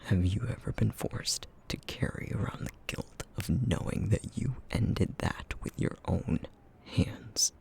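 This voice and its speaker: A man acts out a brooding creature who feeds on essence, haunted by guilt and sharp with bitter sarcasm. His low voice sinks into a gravelly near-whisper and moves slowly, in the intimate style of an ASMR roleplay drama. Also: full character voice. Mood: anguished, accusing. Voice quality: breathy, half-whispered, gravelly.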